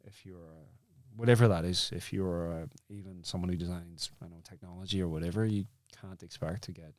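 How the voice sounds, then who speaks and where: Lowish guy hosting a podcast, blunt n emphatic, repeats himself, dry close sound. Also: steady tone, brisk, a little mellow, no echo. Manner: emphatic, repetitive, blunt, checks for agreement. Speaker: podcast host, acting coach. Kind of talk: casual chat.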